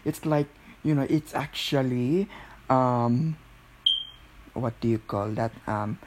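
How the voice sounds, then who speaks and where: Slow-talking man putting on a Filipino accent. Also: medium pitch, noisy background. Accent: put-on Filipino. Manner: jokey, often breaking into giggles. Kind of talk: casual rambling chat.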